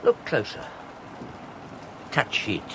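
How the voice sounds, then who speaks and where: An actor playing a mild, hesitant older man who answers quietly and guardedly, in a radio drama. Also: takes it slowly, background noise, close to an everyday voice.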